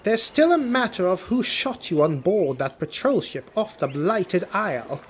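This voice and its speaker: A man's voice: sits high, big pitch swings, a little slow, slight background noise, voice pushed up. A man voicing a young male elf knight, an earnest and loyal retainer, in a fantasy audiobook with an eager, amused delivery. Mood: probing.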